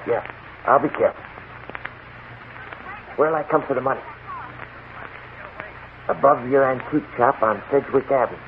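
An actor playing a curt, guarded and threatening killer with a gun in 1940s radio drama style. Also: male voice, medium pitch. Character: tense, menacing male killer with a gun. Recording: scratchy, tinny 1940s radio broadcast.

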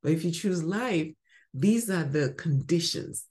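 A woman from Ghana giving a spoken devotional teaching as podcast host, emphatic and swinging from bright exclamations to soft murmurs. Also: fairly low pitch, animated, measured pace.

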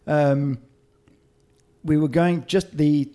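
A middle-aged man from Hong Kong and Singapore chatting casually as a podcast co-host, telling stories in an animated, sweary fan's manner. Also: fairly high pitch, very slow. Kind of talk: casual chat with football reminiscing.

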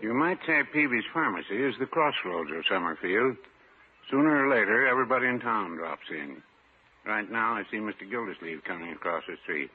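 Guy doing a dry unhurried druggist in a 50s radio comedy, lowish voice, muffled hissy sound. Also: soft background music, lightly acted. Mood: folksy. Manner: deadpan, unhurried. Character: mild, dry-witted small-town pharmacist. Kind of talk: 1950s radio comedy acting. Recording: noisy, muffled 1950s radio recording.

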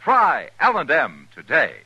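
A man's voice: fairly high pitch, wildly swooping pitch, unhurried, sharp tone, lo-fi sound, slight background noise. A man with an American accent reading a 1950s radio commercial as its announcer. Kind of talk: 1950s radio advertising pitch.

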